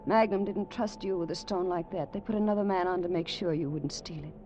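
A woman's voice: on the low side, fairly quick, mellow, close to an everyday voice. An actress playing a resolute, emotional woman, earnest and dramatic, in a 1940s radio drama. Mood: firm, accusing. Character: resolute, emotional woman, secret wife of investigator. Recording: muffled, noisy old radio recording.

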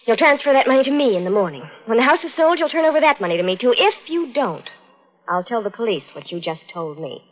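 An actress playing a scheming, composed fiancée, deliberate and theatrical, in an old-time radio suspense drama. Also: female voice, a little high, big pitch swings. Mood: cold, calculating. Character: scheming fiancée, sweetly coaxing, coolly calculating. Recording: dull, lo-fi old radio recording.

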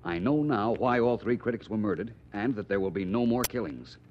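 Low expressive voice, man as suave sleuth, courteous, dry wit, vintage radio drama, muffled with faint hiss. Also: fairly quick, lightly acted. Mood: measured, probing. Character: suave, unflappable gentleman detective, drily witty. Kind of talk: 1940s radio acting. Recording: muffled, hissy 1940s radio recording.

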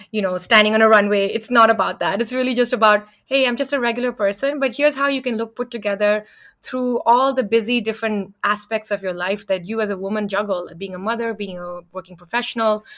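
A woman's voice: medium pitch, a bit bright. A woman blogger answering an interview question in a chatty, casual way, with many fillers and restarts. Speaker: blogger and content creator.

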